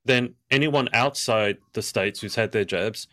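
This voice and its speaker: A man's voice: on the low side, measured pace, bright, forceful tone, no echo, studio quality. A man co-hosting a podcast, musing aloud in casual chat and hedging with "like". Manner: musing, hedges with "like". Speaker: podcast co-host.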